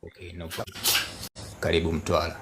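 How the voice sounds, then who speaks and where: A man's voice: low-pitched, completely flat delivery, fairly quick. A Tanzanian man chatting casually in simple English, repeating short polite phrases, on a noisy live stream. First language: Makonde.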